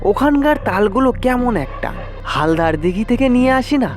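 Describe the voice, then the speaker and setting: An eager village youngster voiced by a male narrator in an audio horror story. The delivery is high, pleading and exclamatory. Music sits under the voice. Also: expressive, moderate pace.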